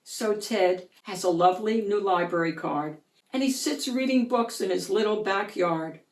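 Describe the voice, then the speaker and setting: A woman reading a rhyming picture book aloud for children, in an expressive, sing-song way. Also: medium pitch, normal speed. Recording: roomy echo, distant mic.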